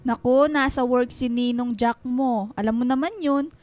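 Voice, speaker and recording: A woman playing a warm, chatty middle-aged godmother and proud mother in a radio drama, effusive and fussing. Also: a little high, fairly even intonation, normal speed, dry recording, faint music behind, close to an everyday voice. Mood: welcoming, fussing. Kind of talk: Filipino radio drama acting.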